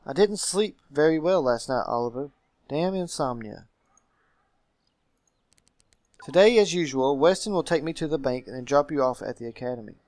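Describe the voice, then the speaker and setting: A man voicing a brooding gentleman guardian in a game, telling his story in a formal, melancholy manner. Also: fairly high pitch, animated, fairly quick, no room sound, natural voice. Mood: courteous.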